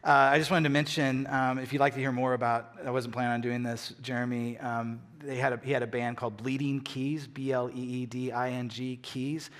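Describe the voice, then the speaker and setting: A man pastor making halting announcements from a church stage, full of fillers. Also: medium pitch, level tone, a bit bright.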